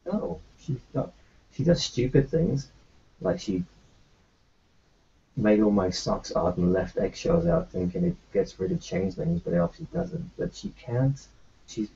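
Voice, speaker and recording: A man in an in-character tabletop roleplay, talking in a halting, reassuring way with many restarts. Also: medium pitch, gravelly, dark tone, lo-fi sound.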